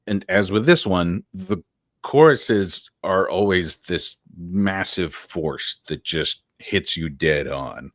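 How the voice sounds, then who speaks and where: A man's voice: low-pitched, fairly bright tone, dry recording, clean studio sound. A male interviewer asking long, thoughtful questions in a casual, winding way.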